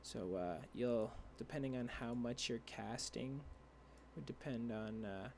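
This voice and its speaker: A young man explaining a coding tutorial over a screen share, rambling and halting with many fillers and restarts, his voice dry. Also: mid-range voice, creaky, a little hiss or hum. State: dry voice, clearing throat. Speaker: tutorial creator.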